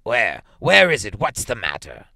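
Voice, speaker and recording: A man voicing a fearful, grieving old husband with an unsteady, halting delivery in a storybook reading. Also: rumbling low, sing-song, vocal fry, breathy edge, no room sound, clean studio sound, pitched below the performer's own voice, full character voice. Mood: alarmed. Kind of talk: dramatic storybook character reading.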